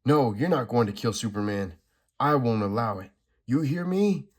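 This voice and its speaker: A defiant young superheroine, as a man puts her on, shouting with strained, raised intensity. She is brave and selfless. The voice pitches well above his usual and carries a slightly husky edge. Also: expressive, normal speed. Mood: fierce.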